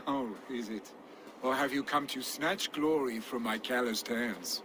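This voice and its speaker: An actor playing a boisterous Norse warrior and jarl's son, teasing and gruff. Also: male voice, medium pitch, a little slow, close to an everyday voice. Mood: boastful. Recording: game audio with ambient noise.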